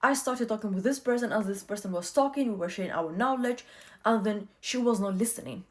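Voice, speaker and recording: A woman's voice: medium pitch, a little fast. A young woman whose first language is not English, talking casually to camera and giving earnest life advice, often repeating herself for emphasis.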